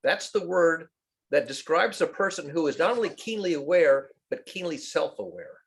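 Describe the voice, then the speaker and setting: A man's voice: a little high, sing-song, normal speed, creaky, husky. A man in a casual group discussion, explaining an idea expansively and at length. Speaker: meeting participant.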